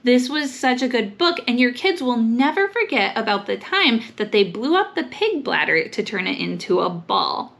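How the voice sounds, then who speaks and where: A woman's voice: a little high, big pitch swings, moderate pace, gravelly, hardly any pauses, fairly bright tone. A woman giving upbeat book recommendations as a vlog host, speaking with enthusiasm and emphasis. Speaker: host, homeschool mom recommending books.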